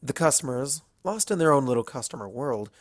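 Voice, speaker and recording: A male narrator reads a piece of fiction from a script. His voice sits at a medium pitch, and the recording is dry with very little room sound. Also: animated, brisk, no echo.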